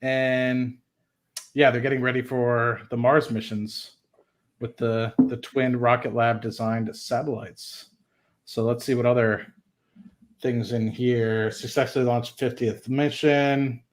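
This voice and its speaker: Male host, medium-pitched voice, casual live commentary, reading and reacting in an informal thinking-aloud way. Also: level tone, slow pace. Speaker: host of an investing livestream.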